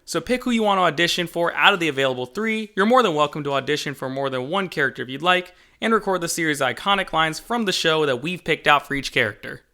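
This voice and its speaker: A man's voice: on the high side, animated, fast, hardly any pauses, pushed and bright. A man hosting as a game developer, giving upbeat scripted video narration with an enthusiastic, jokey manner. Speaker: game developer and channel host.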